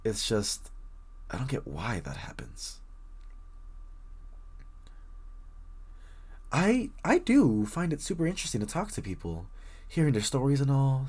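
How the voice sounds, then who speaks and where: A male actor plays a painfully shy, bookish young man in an intimate roleplay audio. His voice is mid-pitched and slightly husky, jumping up and down with nerves. He stammers, rambles and apologises, sweetly flustered as he talks straight to the listener. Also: darkish tone. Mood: shy, chatty. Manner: stammering, hesitant, rambling, apologetic, giggles nervously.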